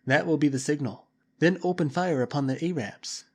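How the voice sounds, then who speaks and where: A man voicing a scheming camp leader who gives smooth, commanding orders. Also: fairly high pitch, normal speed, a little mellow.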